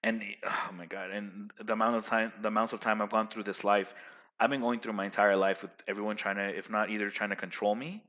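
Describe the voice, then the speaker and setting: Loud, emphatic young man giving advice. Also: on the low side, steady tone, a little fast. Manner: loud, emphatic, rambling with restarts. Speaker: vlogger giving social advice. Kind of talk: casual advice monologue.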